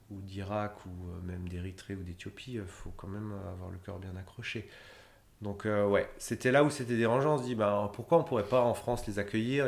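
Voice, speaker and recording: A man in his thirties, a humanitarian logistician, answering interview questions in a rambling way with many fillers and restarts. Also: low voice. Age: 30s. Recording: roomy echo.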